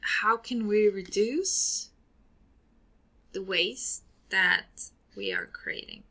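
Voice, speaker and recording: A woman from Sweden with a Swedish accent, hosting a solo podcast and giving earnest advice, often trailing off into breathy ends. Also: medium pitch, wildly swooping pitch, very slow, sharp tone. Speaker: podcast host.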